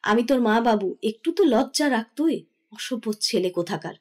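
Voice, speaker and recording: A middle-aged mother played by a woman in an audio romance drama. Her voice sits a little high as she chides firmly and teases. Underneath the scolding she is indulgent. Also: lively intonation, normal speed, a little mellow. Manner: chiding, teasing, firm. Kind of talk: Bengali audio drama acting.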